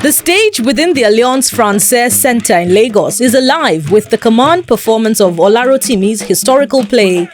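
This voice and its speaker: Female TV reporter, Nigerian accent, scripted voice-over, lively intonation, slightly high. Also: moderate pace, few pauses, soft background music. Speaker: arts reporter.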